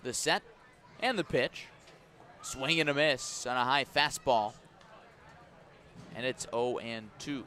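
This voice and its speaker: A man calling baseball play-by-play live on the radio, measured in delivery and punchy on the action. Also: mid-range voice. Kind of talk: live radio sports commentary. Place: outdoor ballpark.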